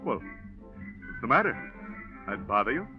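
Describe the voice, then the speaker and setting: A man's voice: mid-range voice, big pitch swings, unhurried. An American man playing a jovial, slippery business partner in 1940s radio style, blustering and chuckling as he hems and haws. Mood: evasive, smug. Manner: blustering, hemming and hawing, chuckly. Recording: lo-fi old radio, music behind.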